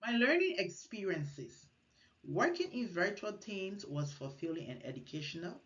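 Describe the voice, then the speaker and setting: A woman's voice: mid-range voice, big pitch swings, normal speed, dull audio. A woman from Liberia, giving an earnest recorded class presentation, partly read and with small restarts. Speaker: student presenter.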